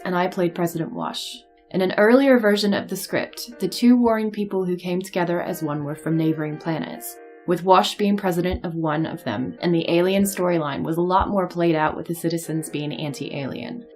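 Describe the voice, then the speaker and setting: Low-voiced woman narrating over music. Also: darkish tone. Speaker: cast member. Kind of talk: scripted behind-the-scenes narration.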